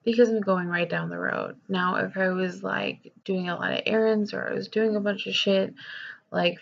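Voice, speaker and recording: A woman's voice: medium pitch, fairly even intonation, normal speed, gravelly, highs cut off, slight background noise. A young woman talking candidly in a personal vlog, rambling with lots of fillers and swearing, her voice nasal and stuffed up from a cold. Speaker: vlogger.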